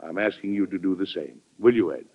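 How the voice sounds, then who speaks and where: An actor playing a measured, conciliatory president in an old radio drama, on a tinny vintage recording. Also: male voice, deep voice, slightly rough. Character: calm, conciliatory male president. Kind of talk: 1940s radio drama acting.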